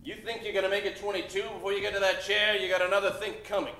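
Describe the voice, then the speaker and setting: Man playing a sharp, high-voiced bullying prison guard. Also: level tone, rapid-fire. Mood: taunting. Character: gruff, taunting, hated prison guard. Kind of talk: old-time radio drama acting. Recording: echoey stage, faint noise.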